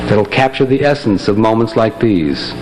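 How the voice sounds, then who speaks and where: A man's voice: on the low side, lively intonation, moderate pace, darkish tone, a little hiss or hum, poor audio. A man speaking as presenter of a barbershop chorus show, giving a spoken introduction between songs on stage.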